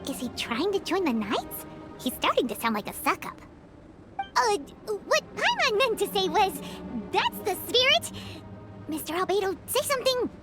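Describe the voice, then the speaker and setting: A woman voicing a tiny, cheeky, childlike fairy companion in a video game, with a squeaky voice and blunt manner. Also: huge pitch swings, moderate pace, over loud music, heavily acted. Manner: refers to self in third person, blunt. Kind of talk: video game character dialogue.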